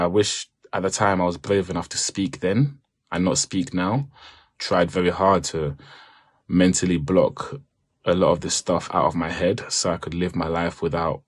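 Male interviewee, native French speaker, deep voice, close dry sound, reflective testimony. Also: breathy edge, no echo.

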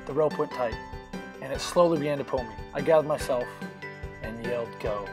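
A man's voice: mid-range voice, a little fast, loud music behind the voice. A young man telling a personal story as a voice-over, in an earnest, reflective way. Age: young adult. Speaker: narrator of a family video.

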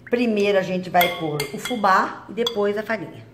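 A woman's voice: mid-range voice, moderate pace, reverberant, noisy background. A woman hosting a home cooking video, chatting and explaining each step as she mixes dough in her kitchen. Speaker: cooking channel host. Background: clinking utensils and bowls.

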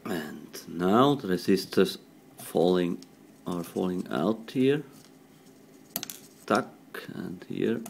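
A non-native English-speaking man giving an improvised hands-on electronics tutorial at a workbench, talking haltingly in short phrases. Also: low-pitched, lively intonation, creaky, darkish tone, a little hiss or hum. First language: not English. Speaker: electronics hobbyist demonstrating a radio modification. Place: indoors at a workbench.

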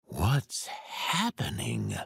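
A man voicing a weary, bewildered video game announcer AI, grumbling theatrically in a deep, gravelly voice. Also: huge pitch swings, very slow, a bit bright, no room sound, clean studio sound, full character voice. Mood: dismayed, grumbling.

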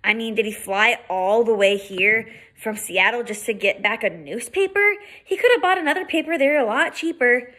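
A woman reads a curious boy who wonders aloud in an earnest, plain way. The tone is fairly high and bright. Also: animated, moderate pace, hardly any pauses.

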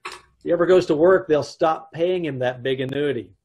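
A man as a husky, wry radio-comedy husband. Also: fairly high pitch, normal speed, a little mellow. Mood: wry, narrating. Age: middle-aged. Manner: wry, wisecracking. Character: wry, exasperated middle-aged husband narrating his troubles. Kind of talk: old-time radio comedy acting. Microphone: video call.

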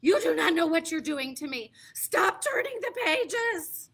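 A woman's voice: extremely high pitch, sing-song, a little slow, fairly bright tone, heavily acted. A woman voicing a lovable furry male monster who pleads frantically, in a storybook read-aloud. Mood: frantic.

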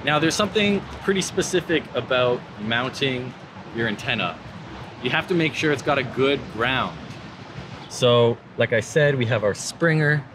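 A man's voice: medium pitch, fairly bright tone, slight background noise. A man, giving a laid-back, informal hands-on tutorial as a vlogger. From Canada. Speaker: vlogger presenting a vehicle install. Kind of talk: casual hands-on tutorial talk to camera. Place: in a vehicle and outdoors under an overpass.

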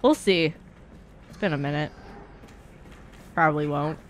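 A woman's voice: deep voice, measured pace, slight background noise. A young woman giving casual live gaming commentary in a playful, slangy, chatty way. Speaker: streamer. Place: indoor streaming room. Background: horror game sounds.

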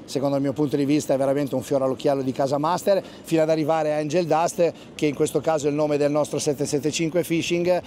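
A man's voice: fairly high pitch, fairly even intonation, fast, hardly any pauses, dark tone, slight background noise. Enthusiastic man at a marina. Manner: enthusiastic, repeats "assolutamente". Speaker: fishing guide. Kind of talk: interview at a boat show. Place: outdoor marina at a boat show.

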